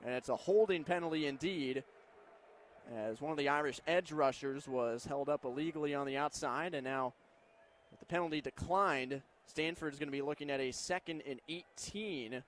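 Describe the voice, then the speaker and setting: A man's voice: on the high side, moderate pace. An American man doing live play-by-play commentary of a football game on radio, with stadium noise behind him. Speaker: play-by-play announcer.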